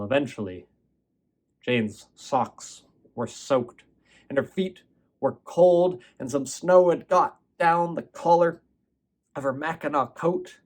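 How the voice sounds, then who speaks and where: Slow-paced, expressive male storyteller. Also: mid-range voice. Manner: expressive, dramatic pauses and emphasis. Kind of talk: performed fairy-tale narration.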